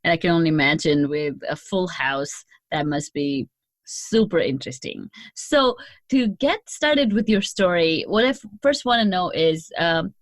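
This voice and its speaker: Podcast host, female, slightly low pitch, close dry sound, informal interview chat. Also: moderate pace, dry recording.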